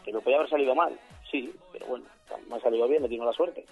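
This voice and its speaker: A man, a DJ and singer chatting as a guest, slightly hoarse, rambling and acting out what others say. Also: mid-range voice, very fast, narrow, tinny audio, faint music behind. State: hoarse after a weekend of shows. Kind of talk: casual interview chat.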